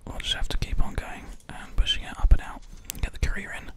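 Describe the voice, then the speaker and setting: A gamer commentates on play in a breathy, unvoiced whisper, right up at a binaural mic. The delivery is ASMR, hushed throughout, with keys and mouse clicking behind it. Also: quick pace. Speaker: host, gamer. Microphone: close binaural microphone. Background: keyboard and mouse clicks.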